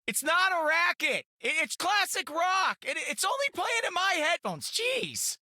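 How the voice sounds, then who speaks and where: An actor playing a cocky, wisecracking young space outlaw in a video game, flippant, slangy and sarcastic. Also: male voice, extremely high pitch, big pitch swings, a little slow, pushed and bright, no echo, studio quality, exaggerated voice. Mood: exasperated. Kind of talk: video game character lines.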